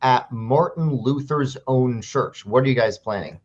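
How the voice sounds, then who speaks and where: An upbeat man hosts an online interview, introducing his guests and affirming what they say. He speaks at a middle pitch, and the recording sounds slightly dull and lo-fi. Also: unhurried. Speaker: YouTube host.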